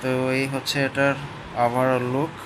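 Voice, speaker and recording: A man's voice: mid-range voice, flat delivery, measured pace. A man gives a casual, hands-on product review, explaining step by step and often checking in with his listeners. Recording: clear background noise, urban ambience.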